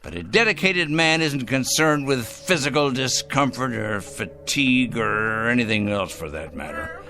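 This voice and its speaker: A man's voice: mid-range voice, animated, moderate pace, pushed and bright, faint music behind. An actor in a radio drama playing a fussy, self-righteous male fanatic who speaks in a prim, formal, emphatic way. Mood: smug, weary zeal.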